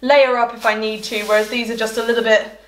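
A woman's voice: on the high side, level tone, quick pace. Chatty young woman in echoey hallway. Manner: chatty and enthusiastic, trailing off mid-thought. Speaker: fashion vlogger. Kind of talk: casual try-on haul chat. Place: hallway at home, indoors. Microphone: camera across the room. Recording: echoey hallway, faint hiss.

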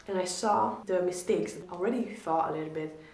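Young Polish woman, art-channel host, chatty and encouraging, lowish voice, echoey room mic. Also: brisk, darkish tone, slight background noise. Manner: chatty, encouraging, repeats "really". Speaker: art YouTuber reviewing viewer drawings. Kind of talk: casual instructional talk to camera. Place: small indoor room against a plain wall.

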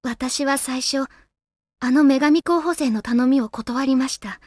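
A woman playing a gentle, solemn female guardian spirit in a Japanese video game, speaking politely and formally with composure. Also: sits high, measured pace, no room sound, studio quality. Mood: serene, warm.

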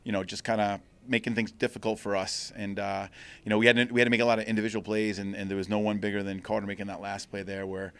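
A middle-aged man coaching a college team talks through a postgame interview. His voice is low and gravelly, with quick pacing, a flat delivery, and plenty of restarts. He speaks into a handheld mic indoors. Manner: earnest, rambling, many restarts and fillers. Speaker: head lacrosse coach. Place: indoors before a sponsor backdrop. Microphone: handheld mic held by interviewer.

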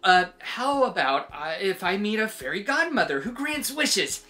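Woman playing a chatty, nervous storybook sheep, swooping pitch. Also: sing-song, normal speed, fairly bright tone, faint music behind. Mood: wheedling. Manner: hesitant, wheedling, full of suggestions. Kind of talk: animated children's picture-book read-aloud.

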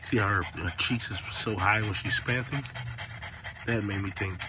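Man, thirties, lowish voice, under the weather, casual car vlog, phone held at arm's length, loud noise behind. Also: normal speed. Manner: chatty, addresses viewers as y'all, clears throat often. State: sick, losing his voice. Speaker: dog breeder vlogger. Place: parked car.

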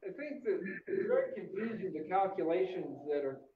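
An older man, a family doctor, speaking in a relaxed, optimistic way with many filler uhs. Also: high-pitched, normal speed. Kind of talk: casual video interview. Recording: echoey, distant, phone-like audio.

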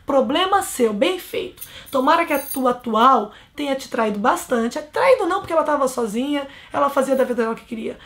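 A woman's voice: high voice, expressive, brisk, hardly any pauses. A woman in her thirties from Brazil, giving blunt, scolding relationship advice straight to camera from a small bedroom. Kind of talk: casual advice monologue to camera.

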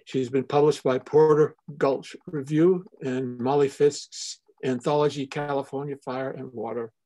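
Older male emcee, warm dark voice, reads verse then gives hesitant poet intros over webcam. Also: mid-range voice, fairly even intonation. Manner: halting, with restarts and fillers. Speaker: poetry reading emcee. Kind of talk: reading poetry and introducing poets. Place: home study with bookshelves. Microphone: laptop webcam on video call.

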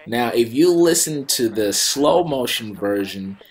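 A man's voice: mid-range voice, lively intonation, a little slow. A young man speaks as a host with a mid-range voice. He explains things in a casual, conversational way, talking straight to the camera in a small room at home. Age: young adult. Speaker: host, pilot.

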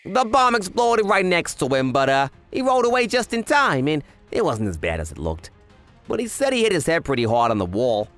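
A man plays a young cartoon gangster underling, chatty and easily frightened. His voice leaps about excitedly in exclamations, with music underneath. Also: a little high, brisk. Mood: admiring, chatty. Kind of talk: animated manga dub dialogue.

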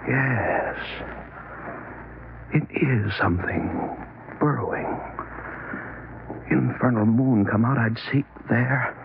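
A cold, scornful scientist on vintage radio. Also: male voice, mid-range voice, slow, gravelly, long pauses. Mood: puzzled, uneasy. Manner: curt, scornful, clipped. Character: cold, arrogant, irritable middle-aged scientist husband. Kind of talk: old-time radio horror acting. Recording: noisy, narrow-band vintage radio recording.

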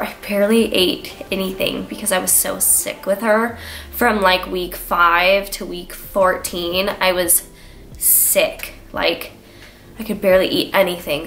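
A woman's voice: mid-range voice, gravelly, a bit bright. A young woman with a stuffy, congested voice, chatting casually to camera as a vlogger and filling her talk with "like". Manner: chatty, lots of filler "like". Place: small room indoors. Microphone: camera on a tripod, framed from the waist up.

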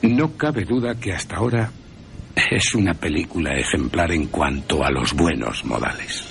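Deep, creaky Spanish man narrating with wry drama. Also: expressive, normal speed, a little hiss or hum. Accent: Spanish (Spain). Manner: wry, theatrical storytelling. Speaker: radio presenter and narrator. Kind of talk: scripted dramatic narration of a film, with character voices. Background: music.

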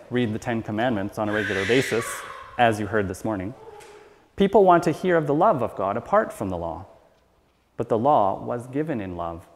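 A middle-aged man reads a scripted sermon in a measured, formal way from a church lectern. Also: medium pitch. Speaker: church elder reading a sermon. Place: church hall, at a wooden lectern. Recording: long church hall reverb.

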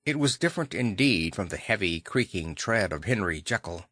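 A man's voice: fairly low pitch, lively intonation, moderate pace, a bit bright, dry recording, clean studio sound. A man reading a classic novel aloud as a dramatic audiobook narrator.

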